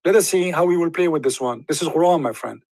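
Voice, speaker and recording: A man's voice: fairly high pitch, fast talker, darkish tone, dry recording. A man hosting a confrontational debate, pressing and sarcastic, cross-examining his guest. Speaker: debate host.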